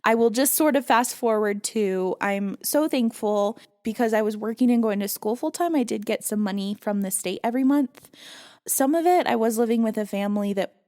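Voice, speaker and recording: A woman's voice: a little high, moderate pace. Earnest, reflective woman guest. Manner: reflective, earnest, with soft laughs. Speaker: podcast guest. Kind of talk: candid personal storytelling in conversation.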